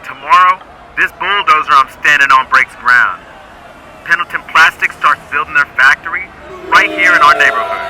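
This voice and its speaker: A man's voice: a little high, lightly acted. A man playing a fiery eighteen-year-old activist in a radio drama, impassioned and declamatory. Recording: noisy outdoor scene with vehicle sounds.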